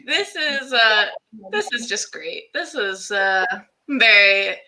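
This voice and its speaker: A woman's voice: medium pitch, lively intonation, takes it slowly, pushed and bright, slight background noise, poor audio. A woman hosting a conversational interview, effusive and encouraging, with lots of casual "like"s. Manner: effusive, encouraging, uses "like" often. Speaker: show host interviewing guests.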